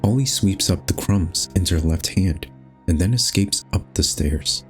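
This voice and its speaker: A man's voice: deep voice, normal speed, faint music behind. A man reading scripted, storybook-style narration for a horror game.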